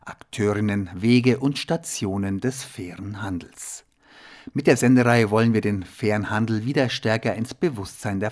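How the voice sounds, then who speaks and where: A male radio host reads his scripted introduction in a calm, measured way. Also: on the low side.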